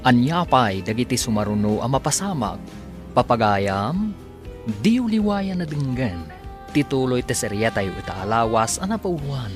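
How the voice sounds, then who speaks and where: A man gives a formal, measured radio drama announcement with lively pitch, over clear theme music behind his voice. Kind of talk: scripted announcement.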